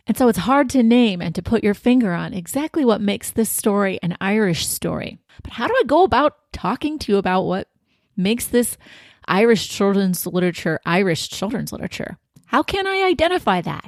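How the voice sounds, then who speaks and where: An American woman hosting a podcast, giving a prepared literary talk in a reflective, deliberate way with emphatic phrasing. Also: medium pitch, no room sound, studio quality. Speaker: podcast host.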